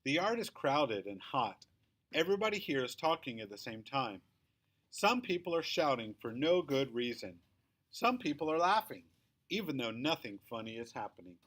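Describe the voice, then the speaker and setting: A man narrates a children's chapter book in a middle-pitched voice. He reads the scripted first-person story with expression, leaning on key words as a storyteller does. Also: sing-song, moderate pace, a bit bright. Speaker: read-aloud storyteller.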